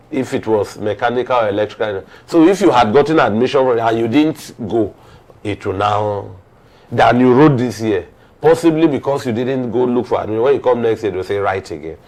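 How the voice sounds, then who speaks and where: A man with a Nigerian accent giving conversational commentary as a talk show host, with formal, deliberate phrasing. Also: mid-range voice, a little mellow.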